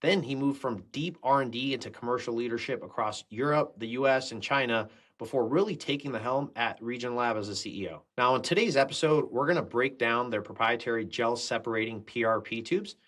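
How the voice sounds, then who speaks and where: A man's voice: medium pitch, brisk, few pauses, a bit bright. A man hosting a podcast interview, introducing his guest and asking questions eagerly, leaning on fillers. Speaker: podcast host.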